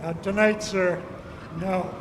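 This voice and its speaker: A man's voice: high-pitched, steady tone, takes it slowly. A man playing a dutiful ship's officer in a radio drama, reporting in a clipped, deferential way. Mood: grim, dutiful. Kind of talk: stage radio drama acting. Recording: reverberant hall, faint background noise.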